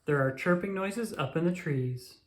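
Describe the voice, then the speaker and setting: A woman reading a children's storybook aloud with expressive, animated storytelling and emphatic exclamations. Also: fairly low pitch, moderate pace. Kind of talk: scripted read-aloud narration for young children. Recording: roomy, echoing sound.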